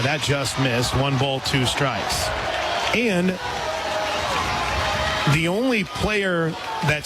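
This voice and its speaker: Higher-pitched male play-by-play man over loud stadium noise. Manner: clipped calls mixed with statistics. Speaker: play-by-play announcer. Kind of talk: live softball radio play-by-play commentary. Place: outdoor softball stadium broadcast position.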